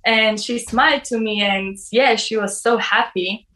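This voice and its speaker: A woman's voice: on the high side, fairly even intonation, sharp tone, low-quality recording. Young woman, personal testimony, hesitant, filler-heavy. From Poland. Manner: halting, many "like" fillers.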